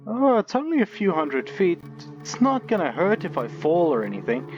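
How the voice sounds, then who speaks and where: Animated, wry man commentating over a game. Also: sits high, normal speed, highs cut off. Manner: wry, chatty, thinking aloud. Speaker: game reviewer playing and commenting. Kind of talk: casual gameplay commentary. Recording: loud music behind the voice. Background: loud game music.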